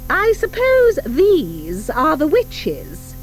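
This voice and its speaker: A woman's voice: sits high, wildly swooping pitch, drawn-out words, slight background noise, voice pushed up, heavily acted, poor audio. A woman voicing a stern, icy schoolmistress witch in an audiobook, with a clipped, disdainful and sarcastic manner. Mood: bitter.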